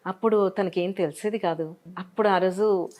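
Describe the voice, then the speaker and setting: A woman's voice: mid-range voice, fairly even intonation, normal speed, a little mellow. A middle-aged Indian woman, reminiscing in a conversational interview, repeating phrases animatedly and mixing in English words. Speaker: interview guest, dubbing and announcement voice artist.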